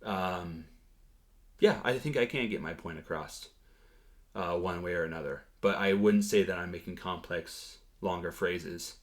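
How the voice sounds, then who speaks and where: A man's voice: deep voice, measured pace. A man giving a casual vlog update on his language learning, talking hesitantly with frequent fillers and restarts.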